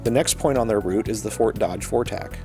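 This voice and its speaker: A man reading scripted educational narration in a measured, explanatory manner. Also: lowish voice, over music.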